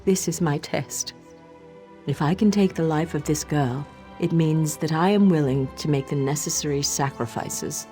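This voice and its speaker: A woman's voice: low-pitched, normal speed, close to an everyday voice. An actress playing a grave, determined young woman in a video game, solemn and intense. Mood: grim, determined. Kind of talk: video game character dialogue. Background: music.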